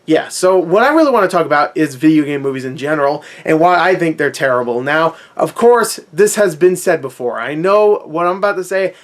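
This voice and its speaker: A man's voice: a little high, lively intonation, a little fast, few pauses. A man giving a casual, unscripted movie rant as an online host, conversational and opinionated, with emphatic repetition. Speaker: YouTube movie reviewer and host.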